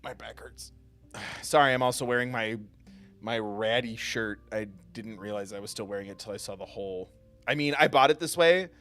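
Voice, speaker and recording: A man's voice: on the low side, moderate pace, a bit bright, faint music behind. A man doing casual, jokey and self-deprecating live commentary while playing a game. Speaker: livestreamer playing and reading aloud a visual novel.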